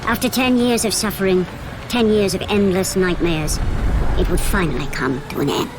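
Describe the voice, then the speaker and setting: An actress as a grave storyteller, narrating an ancient myth in a video game with measured, solemn drama. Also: female voice, medium pitch, noisy background, natural voice. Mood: ominous.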